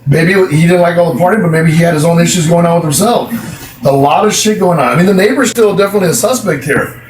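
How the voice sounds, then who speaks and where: A man giving a casual tarot reading, speculating out loud in an animated way. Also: fairly high pitch, quick pace. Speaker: tarot reader. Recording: roomy, distant, noisy clip.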